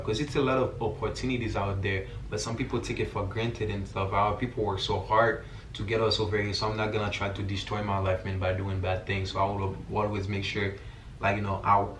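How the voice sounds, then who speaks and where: A young man with a Haitian accent speaks in a fairly low voice, casual and earnest, like a vlogger addressing his viewers. His phrasing is informal and slangy. The sound is clearly roomy and echoey, picked up some distance from the mic, with faint noise behind it. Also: fairly bright tone. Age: young adult. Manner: informal, slangy, repeats filler phrases like "you feel me".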